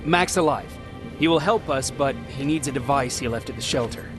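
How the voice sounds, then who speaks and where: An actor playing a terse resistance soldier in a video game, direct and questioning, always to the point. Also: male voice, mid-range voice, moderate pace, music in the background, lightly acted. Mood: dutiful. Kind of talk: video game cutscene acting.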